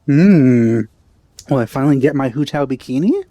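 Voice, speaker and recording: A man's voice: medium pitch, expressive, normal speed, mellow. A man giving casual let's-play commentary in wry, musing asides. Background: game music.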